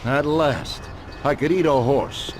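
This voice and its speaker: A man plays an older mob boss in a video game, commanding and hearty with a vengeful edge. His pitch is average and his talk is blunt gangster slang, over clear background noise. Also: slow pace, lightly acted. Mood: jovial. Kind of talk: video game cutscene acting.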